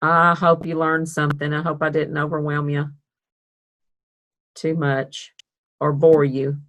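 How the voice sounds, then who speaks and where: Woman, low voice, friendly art instructor on a video call, casual and encouraging. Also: little pitch movement, unhurried, fairly bright tone. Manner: chatty, self-deprecating, upbeat. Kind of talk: online art class teaching.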